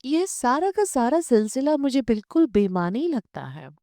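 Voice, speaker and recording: Woman reading as a weary, disillusioned office woman, unhurried. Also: high-pitched, sing-song, mellow, no room sound, studio quality, exaggerated voice. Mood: weary, discontented. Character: weary, disillusioned unmarried woman office worker, restless and earnest.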